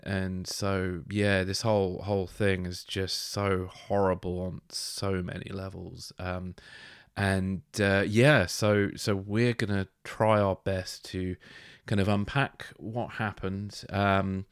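A man co-hosting a podcast, speaking in a measured, earnest way and sometimes halting with fillers as he lays out news reports. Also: deep voice, no room sound, studio quality. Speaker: podcast co-host. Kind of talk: podcast discussion, reading from news notes.

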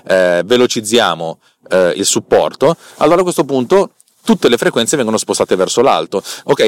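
Low-pitched man, halting and rambling. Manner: rambling, halting, self-correcting, repeating words. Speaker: podcast host. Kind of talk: casual unscripted technical explanation. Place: inside a car.

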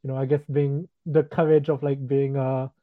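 A man's voice: mid-range voice, level tone, normal speed, no room sound. A young man from Singapore, a freelance guest, talking casually with lots of "like" and "you know". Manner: casual, many fillers like "like" and "you know", easy laughs. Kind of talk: casual interview chat. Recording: tinny phone-like remote line, dry.

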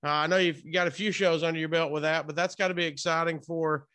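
A man's voice: a little high, fairly even intonation, quick pace, fairly bright tone, smooth. A man hosting a podcast interview in a casual, enthusiastic, informal way. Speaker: podcast and radio host interviewer. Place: hotel room, remote call.

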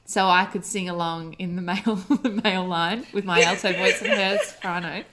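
A woman's voice: on the low side, normal speed, very noisy. A woman chatting as a radio guest in an easy, rambling way. She often mocks herself and breaks into laughter. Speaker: guest, comedy writer and performer. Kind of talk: casual chat.

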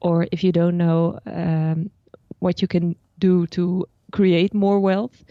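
A woman's voice: on the low side, little pitch movement, slow pace, no echo, dull audio. A Dutch woman, a personal finance blogger, explaining her ideas at length as a guest in a podcast interview, with frequent restarts. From Netherlands.

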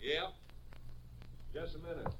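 An actor playing a plain-spoken, offhand bystander in a 1950s western radio drama. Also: male voice, fairly high pitch, level tone, measured pace, bright, forceful tone. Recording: faintly noisy old radio recording.

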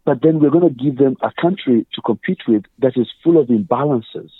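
A man's voice: mid-range voice, a little fast, breathy edge, dry recording, narrow, tinny audio. A man with a Ugandan accent, a guest earnestly explaining his views with examples and quoted questions. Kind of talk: conversational interview.

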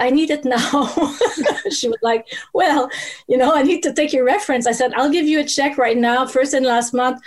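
A woman with a French Canadian accent, a former TV host, telling stories in an interview, lively and laughing often. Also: high voice. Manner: lively, laughing, quick bursts of speech. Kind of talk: storytelling interview.

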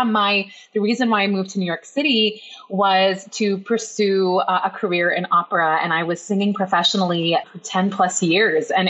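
A woman's voice: medium pitch, fairly bright tone, lo-fi sound. A woman guest, a life coach, talking in a bubbly, chatty way about her life. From Iran, raised in Canada. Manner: bubbly, talkative. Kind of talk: podcast interview.

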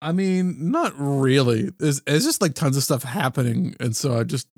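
A man's voice: medium pitch, lively intonation, moderate pace, darkish tone, dry recording. A man in a mid-range voice co-hosts a casual music chat. He talks hesitantly and pads his sentences with fillers. The recording is dry and close. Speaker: podcast co-host.

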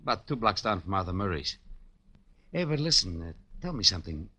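An actor playing a needy, eager small-time American burlesque comic in 1940s radio style, hesitant and cajoling. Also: male voice, deep voice, big pitch swings, fairly quick, pushed and bright, breathy edge, full character voice. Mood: wary, eager. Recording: dull vintage radio recording.

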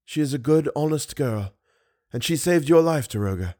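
A man voicing a weak, dying masked man who is lovesick and broken, speaking in halting short phrases and gasping for breath. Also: mid-range voice, lively intonation, darkish tone, husky, dry recording, clean studio sound. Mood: pleading.

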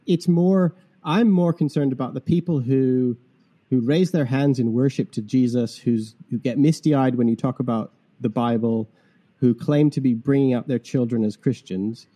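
A man's voice: medium pitch, moderate pace, mellow. A Canadian man whose accent is tinged by long years in England, hosting a podcast discussion in an earnest, reflective way and asking pointed questions. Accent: Canadian with English influence. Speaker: podcast host.

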